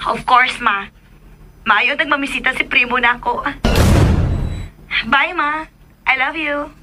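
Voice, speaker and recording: A woman's voice: high-pitched, takes it slowly, background noise. A woman with a Filipino accent playing a sweet, bubbly, lovestruck young daughter in a radio drama, affectionate and teasing. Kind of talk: Philippine radio drama acting.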